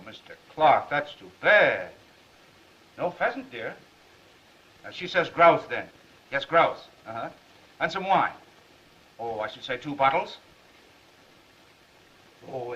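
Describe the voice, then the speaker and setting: A glib young American newspaperman in a 1930s comedy-drama, all cheerful bluff and eager patter. His pitch sits high and swings widely, bright and forceful, on a muffled old film soundtrack. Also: exaggerated voice. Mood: breezy, bluffing.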